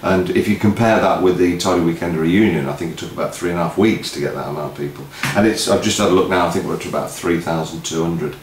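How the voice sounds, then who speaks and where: A man's voice: sits low, quick pace, hardly any pauses. A man giving a casual announcement update as co-host of a video, recorded in an office. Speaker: host of a music event promoters' video update. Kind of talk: casual two-person announcement chat. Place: indoor office room. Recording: roomy echo, noticeable background noise.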